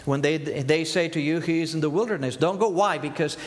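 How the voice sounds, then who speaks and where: A man's voice: on the high side, a little fast, big-room sound. A man in his fifties from Argentina, with an Argentine Spanish accent, Spanish as his first language, preaching an earnest sermon full of rhetorical questions. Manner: earnest, asks rhetorical questions and answers them.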